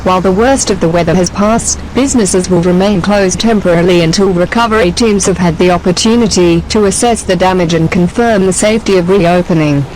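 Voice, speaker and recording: Lowish-voiced woman giving official announcement. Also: a little fast, few pauses, a little mellow, slight background noise, low-quality recording. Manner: formal, official delivery. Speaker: civil defence announcer. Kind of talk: scripted official public safety announcement.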